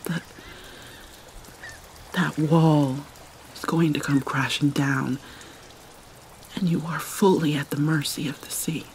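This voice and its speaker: Casting: woman to play haunted vampire mermaid princess for ASMR drama; slow, theatrical, breathy near-whisper, light rainy ambience behind. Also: low voice, fairly even intonation, darkish tone, slight background noise. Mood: anguished, haunted. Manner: halting, theatrical, mournful. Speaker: roleplay voice actor. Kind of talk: dramatic ASMR roleplay monologue.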